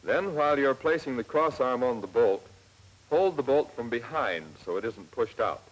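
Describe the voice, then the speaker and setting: An American man narrating a 1940s military instructional film in a plain, measured, step-by-step manner, on an old film soundtrack. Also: medium pitch, lively intonation, poor audio. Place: scripted voice-over for film.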